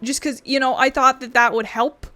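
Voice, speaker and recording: A woman's voice: sits high, steady tone, moderate pace, pushed and bright, no echo, soft background music. A woman giving casual let's play commentary as a gaming streamer, sweary and rambling.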